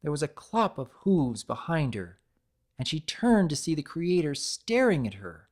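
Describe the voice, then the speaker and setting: A woman's voice: low-pitched, sing-song, a little slow, a little mellow. A woman giving a dramatic, scripted narration of a story as its narrator.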